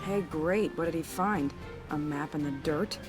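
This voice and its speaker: A woman's voice: low voice, unhurried, over loud music. An actress playing a wry, brassy female soldier in a game, casual and sassy in her delivery. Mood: grumbling.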